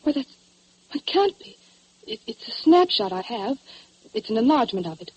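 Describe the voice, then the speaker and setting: Casting: nervous, stammering married woman, old radio drama; breathy-rough voice, dry sound. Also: fairly high pitch, big pitch swings, normal speed, darkish tone, no room sound, poor audio. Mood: flustered, defensive. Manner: hesitant, stammering. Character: anxious, flustered married woman under police questioning. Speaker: suspect's wife in a radio crime drama.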